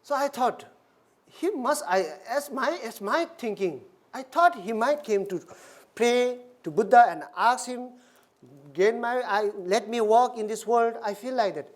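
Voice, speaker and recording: A woman Buddhist minister giving a dharma talk, telling a story in halting non-native English with frequent restarts. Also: a little high.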